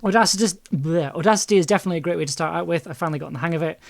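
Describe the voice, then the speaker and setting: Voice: medium pitch, racing, vocal fry, loud music behind the voice. A livestream host chatting casually while editing audio, rambling and often repeating words.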